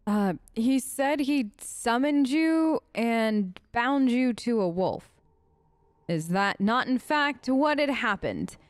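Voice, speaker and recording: High-voiced woman, dry close mic. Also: animated, takes it slowly, dry recording. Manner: chatty, conversational. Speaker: let's play host role-playing her character. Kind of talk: casual improvised role-play commentary.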